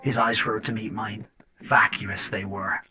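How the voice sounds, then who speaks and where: A man's voice: fairly low pitch, fairly even intonation, measured pace, pushed and bright. A man acting a sardonic, hot-tempered agent who tells his story in colloquial first-person drama narration. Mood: brooding, wry. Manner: colloquial, wry, swearing. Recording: dull lo-fi cassette with music.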